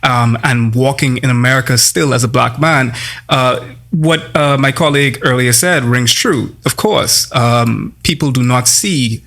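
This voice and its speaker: A young man speaks as a guest on a roundtable panel. His voice sits at an ordinary middle pitch, and he talks in an earnest, deliberate way, often pausing on fillers. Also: vocal fry, fairly bright tone. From Bahamas. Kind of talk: roundtable discussion.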